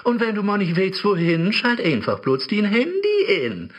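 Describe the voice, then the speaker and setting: An actor playing a sly, scheming male devil who lives in a phone app, with a smooth, sneering voice, in a rhymed radio play. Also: high voice, very animated, moderate pace, lo-fi sound.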